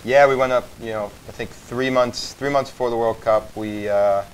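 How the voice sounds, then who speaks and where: A man's voice: mid-range voice, fairly even intonation, normal speed, slight background noise, low-quality recording. A man answering interview questions in a thoughtful way, hedging with small fillers.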